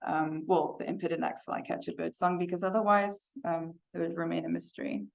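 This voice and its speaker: A woman's voice: fairly low pitch, fairly even intonation, brisk, creaky. A woman from Africa, giving a chatty, self-deprecating club presentation in a meeting room. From South Africa. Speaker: guest presenter. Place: meeting room with a hybrid video call.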